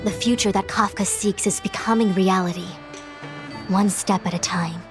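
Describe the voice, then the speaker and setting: An actress voices a composed woman of high office in a game cutscene. She speaks formally and with measured authority, like a grave strategist laying out orders, at a medium pitch with music clearly behind her. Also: fairly even intonation, close to an everyday voice. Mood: grave, explaining. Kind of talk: scripted video game cutscene acting.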